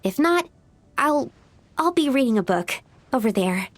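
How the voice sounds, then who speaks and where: A woman voicing a shy, polite, bookish young alchemist in a video game, speaking softly and courteously with some hesitation. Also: high-pitched, very animated, a little slow, slight background noise. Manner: soft-spoken, hesitant, courteous. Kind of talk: video game character acting.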